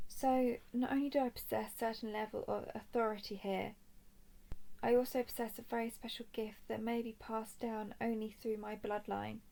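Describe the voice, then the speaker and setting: A woman playing a young royal dragon in a roleplay audio drama, speaking formally, softly and deliberately as a composed, curious captor. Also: a little high, gravelly. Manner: formal, deliberate, soft-spoken.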